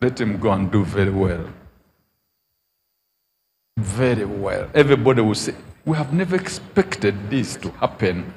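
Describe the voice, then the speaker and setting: Prophet guy on a handheld mic, big tent service, voice jumps up loud when he presses a point, long reverb tail. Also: on the low side, expressive, unhurried, gravelly, pauses often, slight background noise. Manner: prophesying with sudden emphatic rises. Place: large outdoor church tent.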